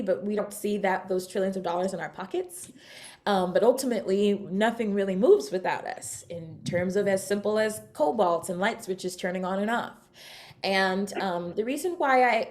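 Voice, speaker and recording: A woman from California, giving an upbeat, chatty keynote talk at an online summit and speaking straight to her audience. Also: mid-range voice. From California, United States. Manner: chatty, upbeat, addresses audience with shout-outs. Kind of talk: energetic conference talk.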